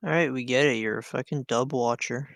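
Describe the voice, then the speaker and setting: A man's voice: mid-range voice, brisk, creaky, no echo. A man co-hosting a podcast, chatting casually with a blunt, teasing manner. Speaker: podcast co-host.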